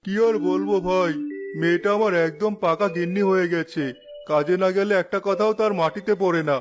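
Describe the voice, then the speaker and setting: A man's voice: high voice, a little fast, soft background music, close to an everyday voice. A man playing a doting, anxious widowed fisherman father in a children's cartoon, worried and sentimental. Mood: sentimental, reluctant. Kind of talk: children's cartoon story acting.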